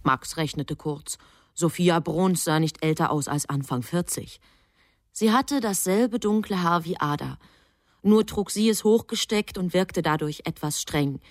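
A precise, self-assured female angel narrates a radio drama. The voice is fairly low and dry, with a measured, formal delivery. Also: no echo. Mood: calm narrating. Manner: measured, matter-of-fact.

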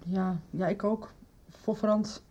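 A woman's voice: medium pitch, fairly even intonation, slow pace, dark tone, muffled recording, a little hiss or hum, lightly acted. Anxious farm woman played by an actress. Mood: worried, weary. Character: anxious countrywoman left running the farm. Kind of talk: 1990s radio drama acting.

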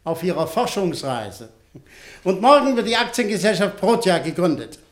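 An actor playing a scheming industrialist who bosses and flatters people, in a radio play. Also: male voice, sits high, normal speed. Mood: pushy. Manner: effusive, bossy, lively. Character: scheming big industrialist, smooth persuader. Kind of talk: 1950s radio play acting.

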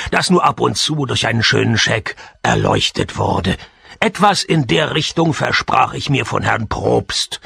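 A sardonic private detective in a comic radio play. His voice is very creaky and bright, swinging widely in pitch under a deadpan, cynical delivery. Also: full character voice. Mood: wry narration. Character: cynical, broke, wisecracking male private detective. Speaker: narrator and lead actor.

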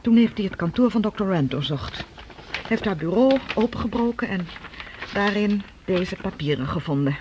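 An actress playing the earnest, formal adult daughter of a scientist in a radio drama, speaking persuasively. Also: female voice, mid-range voice, lively intonation, normal speed, a little mellow, slight background noise, close to an everyday voice. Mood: grave, confiding. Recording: dull, lo-fi radio recording.

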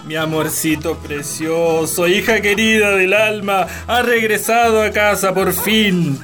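A man's voice: high voice, unhurried, bright, forceful tone. A man playing a middle-aged, wealthy landowner and doting father in a radio drama, affectionate and grand. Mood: doting, proud. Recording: music behind radio drama dialogue.

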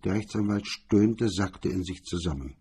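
A man narrates a crime story from a script. His voice is dark and mellow, with a heavy husky rasp. It sits fairly low. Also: moderate pace.

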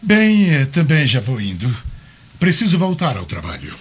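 Suave Roman governor, lively intonation, medium pitch, pompous, theatrical, dull radio-play recording. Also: male voice, moderate pace. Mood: firm, dismissive. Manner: smooth-tongued, pompous, theatrical. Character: suave, self-assured Roman governor, gallant yet dismissive. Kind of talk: radio drama acting. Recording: dull lo-fi radio drama, music underneath.

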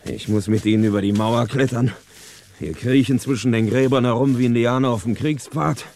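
A man's voice: mid-range voice, brisk, lightly acted. An actor playing a puzzled, impatient police assistant who grumbles and questions his chief in a radio crime drama. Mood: grumbling. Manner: animated, complaining, questioning. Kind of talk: 1960s German radio crime drama acting.

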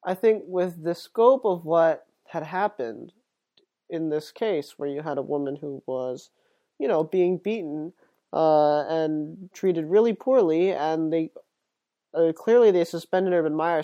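A man's voice: on the high side, unhurried, dark tone, highs cut off. Sniffly, under-the-weather male podcaster. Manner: rambling, full of uh and um fillers and restarts. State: sick, sniffly and congested. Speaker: podcast host. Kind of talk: casual solo sports podcast talk.

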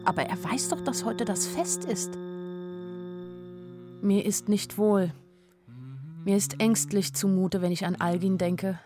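A woman's voice: mid-range voice, takes it slowly, pauses often, lightly acted. An actress playing an anxious, observant young woman who narrates her own story wistfully in a literary radio drama. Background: music.

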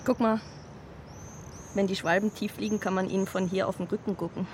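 A woman's voice: mid-range voice, steady tone, brisk, darkish tone, close to an everyday voice. An actress playing a talkative, caring, scatterbrained woman in a naturalistic radio drama, musing and rambling conversationally. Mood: musing, motherly. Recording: clearly noisy outdoor ambience.

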